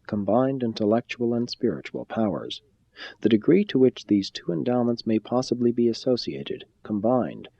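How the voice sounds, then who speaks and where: A man giving a formal scripted reading of a spiritual text in a measured, deliberate, solemn way. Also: lowish voice, gravelly, dark tone, husky, no room sound. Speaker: audiobook-style reader. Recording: slightly dull, muffled sound.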